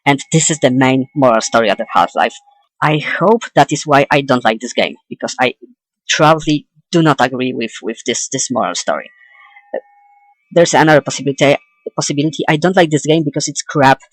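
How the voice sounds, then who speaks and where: A young adult man giving an opinionated, informal video essay as a game commentator, halting and correcting himself as he goes. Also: mid-range voice, no echo, rough recording. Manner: hesitant, halting delivery with self-corrections.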